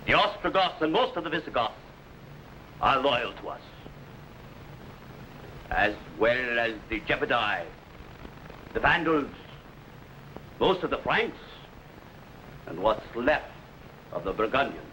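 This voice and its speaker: An actor playing a ruthless, boastful barbarian warlord in a 1950s TV serial, speaking with grand, menacing pauses. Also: male voice, medium pitch, very animated, pushed and bright, a little hiss or hum, full character voice, poor audio.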